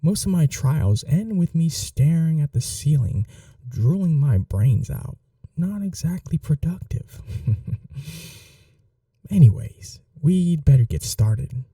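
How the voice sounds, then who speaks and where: Man playing a smug, dark-voiced mad scientist roommate. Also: fairly high pitch, sing-song, takes it slowly, no room sound, recorded from a distance, heavily acted. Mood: casual, coaxing. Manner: casual, coaxing, condescending, self-satisfied. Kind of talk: ASMR audio roleplay, intimate acted monologue.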